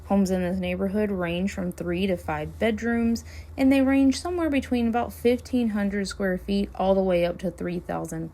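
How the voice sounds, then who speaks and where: A woman realtor, chatting casually while driving, her voice hoarse and scratchy as she loses it. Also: medium pitch, dark tone. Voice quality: gravelly, hoarse. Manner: friendly, chatty, upbeat sales talk. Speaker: realtor hosting a neighborhood tour channel. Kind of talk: casual driving-tour vlog talk. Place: inside a car, driving.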